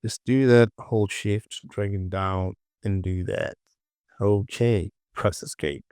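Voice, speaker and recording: A man giving an informal software tutorial, muttering short clipped instructions to himself and often repeating words. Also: lowish voice, steady tone, slow pace, clean tone, no room sound, studio quality. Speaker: 3D modeling tutorial presenter.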